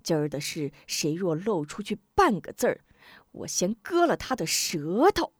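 A woman voicing a scheming male official in a storybook tale, speaking in a breathy, half-whispered menace. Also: fairly low pitch, very animated, normal speed, no room sound. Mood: harsh.

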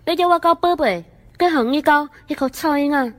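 A woman with a Taiwanese accent playing a furious, possessive royal father in a student radio drama, shouting imperiously. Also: high voice, brisk, close to an everyday voice. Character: enraged king, doting possessive father. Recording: long echoing reverb.

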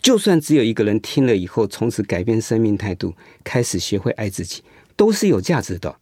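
An actor playing an ailing but determined cancer survivor in a radio drama, speaking earnestly and reassuringly with a husky voice. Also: male voice, fairly low pitch, sing-song, fairly quick, darkish tone, dry recording, clean studio sound. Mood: earnest, resolute.

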